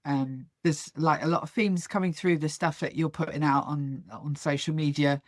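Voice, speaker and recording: A woman's voice: deep voice, steady tone, moderate pace, no echo, clean studio sound. A woman hosting a podcast, asking questions in a chatty, hesitant way. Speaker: podcast host. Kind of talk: interview questions.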